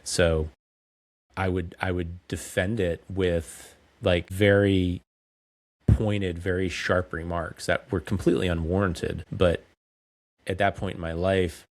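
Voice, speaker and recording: A man in his forties, a coach and speaker, telling his story candidly and in a measured way as a podcast guest. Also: sits low, flat delivery. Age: 40s. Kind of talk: reflective podcast interview.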